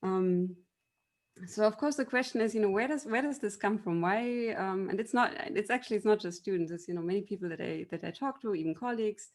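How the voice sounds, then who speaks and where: A German-accented woman speaks as a university lecturer on a debate panel. She explains and tells a story, but her delivery halts often with ums, repeats and restarted phrases. Her pitch sits in an ordinary middle range for a woman. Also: brisk. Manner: hesitant, many ums and restarts. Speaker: university machine learning lecturer. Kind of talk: debate panel opening remarks.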